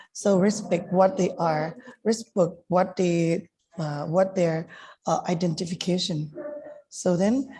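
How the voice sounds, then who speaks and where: Slow, hesitant woman, native Thai speaker. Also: fairly low pitch, dark tone, slightly rough, poor audio. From Thailand. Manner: halting, many restarts and fillers. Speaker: guest speaker. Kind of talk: hesitant webinar remarks.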